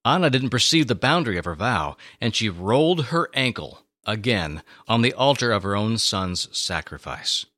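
A man narrating a scripted story in a solemn, expressive, dramatic way on a close microphone. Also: fairly low pitch, moderate pace, hardly any pauses, dry recording. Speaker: show host and story narrator.

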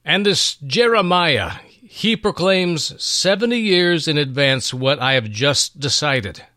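A man voicing a proud, awestruck ancient king who proclaims his decrees in a grand, deliberate manner in a dramatic Bible story. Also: on the high side, expressive, sharp tone, no echo, clean studio sound, pitched above the performer's own voice, exaggerated voice. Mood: majestic, proclaiming.

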